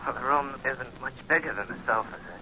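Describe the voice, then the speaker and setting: An actor playing a polite, deferential hotel desk clerk over a telephone filter in a 1940s radio drama. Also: male voice, medium pitch, fast talker, sharp tone, lo-fi sound, a little hiss or hum.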